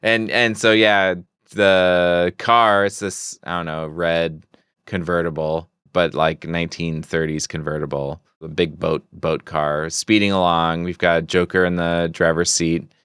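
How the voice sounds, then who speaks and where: Deep, smooth-voiced man chatting casually. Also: level tone, unhurried, fairly bright tone, dry recording, clean studio sound. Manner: conversational, describes scenes panel by panel. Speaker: podcast co-host. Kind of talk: casual chat recapping a comic book.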